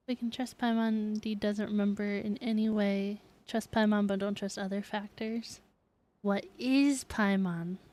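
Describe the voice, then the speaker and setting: A woman's voice: mid-range voice, fairly even intonation, a little slow, darkish tone. A woman game streamer chatting casually to her live viewers as she plays.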